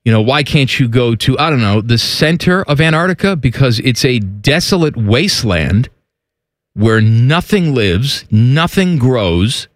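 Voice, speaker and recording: Sarcastic, animated sports talk man. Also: mid-range voice, a little slow, dry recording. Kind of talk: casual sports talk banter.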